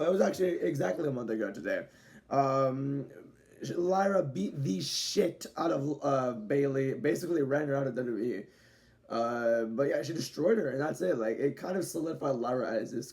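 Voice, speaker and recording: A young man talks through a wrestling review in a loose, rambling way, restarting his sentences and swearing now and then. His voice sits a little high for a man, yet it has a mellow, darker tone with plenty of gravelly fry underneath. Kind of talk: casual spoken review to camera. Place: home room with dogs nearby.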